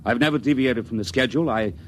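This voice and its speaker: A man's voice: mid-range voice, fast talker, lightly acted. An actor playing a curious, earnest young male servant in an old radio drama. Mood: curious, defensive. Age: young adult. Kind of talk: 1950s radio drama acting. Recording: noisy vintage radio recording.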